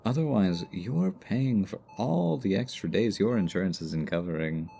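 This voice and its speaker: Man acting cocky low-voiced villain with gravelly edge. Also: animated, moderate pace, warm tone, slight background noise. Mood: cocky, playful. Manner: smug, playful drawl with mock politeness. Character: cocky, teasing male supervillain as chatty hospital patient. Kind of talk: ASMR roleplay audio drama.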